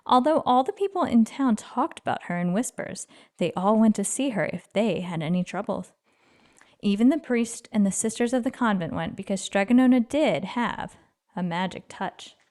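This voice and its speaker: A woman reading a children's story aloud with expressive, dramatic emphasis. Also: mid-range voice, normal speed. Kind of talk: expressive read-aloud narration.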